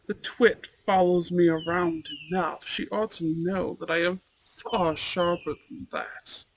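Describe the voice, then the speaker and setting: A woman voicing a proud, imperious young male student in a roleplay audio drama, with haughty, formal and deliberate diction. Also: fairly low pitch, a little hiss or hum, low-quality recording. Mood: disdainful. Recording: steady outdoor bird and insect ambience. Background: birdsong and insects.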